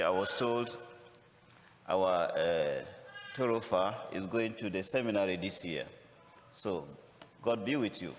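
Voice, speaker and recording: A man with a Ghanaian accent, a visiting priest giving a missionary appeal homily, speaking slowly and deliberately with touches of humor. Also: fairly low pitch, fairly bright tone, a little hiss or hum. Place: large church. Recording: echoey church from a distance.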